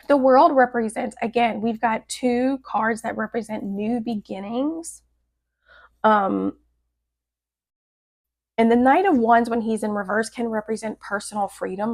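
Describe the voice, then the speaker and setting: A woman with a raspy, hoarse voice giving a casual tarot forecast, often adding "like" and "right?". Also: mid-range voice, a little mellow. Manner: chatty, often adds "like" and "right?". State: hoarse from a cold. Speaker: tarot reader.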